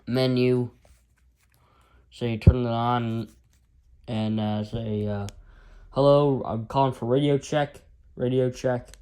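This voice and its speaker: American guy doing a casual gadget demo, kinda rambly and halting, informal, normal mid pitch. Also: steady tone, dark tone, clear, resonant tone. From United States. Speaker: amateur gadget reviewer. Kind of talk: casual unscripted product demonstration.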